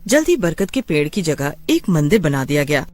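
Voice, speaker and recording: Animated male horror story narrator. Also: fairly high pitch, moderate pace. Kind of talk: dramatic scripted horror storytelling.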